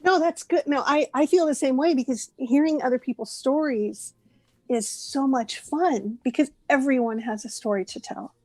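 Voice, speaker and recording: A woman in her late forties, a voice actress chatting as an interview guest, talking warmly and laughing often. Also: high-pitched, normal speed, dry recording. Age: 40s. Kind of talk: casual interview chat.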